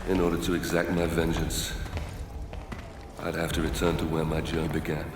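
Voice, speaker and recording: An actor playing a grim, vengeful Roman warrior, telling his tale in a solemn, brooding, measured way for a game cutscene. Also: extremely low pitch, monotone, background noise. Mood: grim resolve. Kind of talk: dramatic game cutscene narration. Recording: echoey hall reverb over music.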